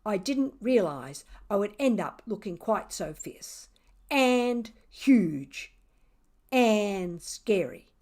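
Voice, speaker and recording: A woman voicing a mischievous, sarcastic, self-satisfied male cat who tells his story theatrically, with dramatic pauses. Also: mid-range voice. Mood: mock innocent.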